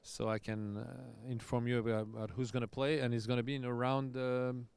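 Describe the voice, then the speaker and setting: A man's voice: medium pitch, level tone, brisk, gravelly, a little mellow, clear, resonant tone, no echo. A man, a tennis coach hosting casual live commentary, chatty and loose with easy laughs. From France. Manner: chatty, loose, laughs often, restarts sentences.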